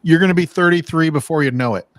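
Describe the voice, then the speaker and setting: A man's voice: on the high side, quick pace, no echo, studio quality. A middle-aged man bantering and telling stories casually, with plenty of "dude," "like" and some swearing. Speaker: livestream co-host.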